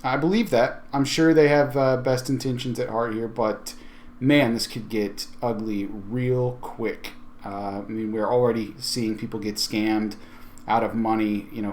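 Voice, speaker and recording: A man's voice: on the low side, steady tone, moderate pace, darkish tone, a little hiss or hum. Casual man hosting a tech tutorial. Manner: informal, conversational, thinking aloud. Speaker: tech video host. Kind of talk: casual software tutorial walkthrough.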